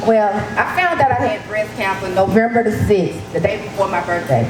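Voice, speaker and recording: A woman tells her story to an audience in a loud, lively, casual way. Her voice rings through an echoey event hall, and clear background noise runs under it. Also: mid-range voice, moderate pace. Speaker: breast cancer survivor speaking at an event. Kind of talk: personal testimony to an audience.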